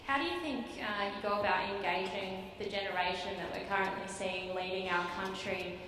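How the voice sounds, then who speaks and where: A woman's voice: medium pitch, brisk, a bit bright. A woman in the audience asking a slightly hesitant question at a public talk. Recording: distant echoey hall, faint hiss.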